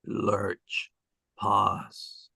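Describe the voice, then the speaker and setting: A man's voice: lowish voice, completely flat delivery, very slow, creaky, pushed and bright, breathy edge, no room sound, clean studio sound. A man reading stage directions at a drama table read, with emphatic, clipped and dramatic phrasing.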